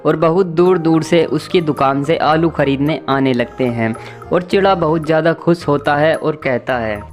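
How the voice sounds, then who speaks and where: A man's voice: on the high side, normal speed. Male children's story narrator over music.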